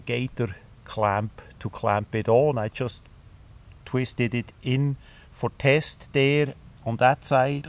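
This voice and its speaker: A man explains things informally and hands-on, in halting, phrasing. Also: medium pitch. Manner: halting, hesitant phrasing with non-native grammar. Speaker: radio hobbyist presenter.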